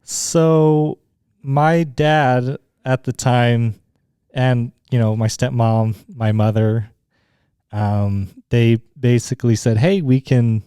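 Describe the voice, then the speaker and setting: A young man from Utah, a guest in a casual chat, easygoing and agreeable, often pausing with fillers. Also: medium pitch, steady tone, warm tone, clean tone, dry recording, studio quality.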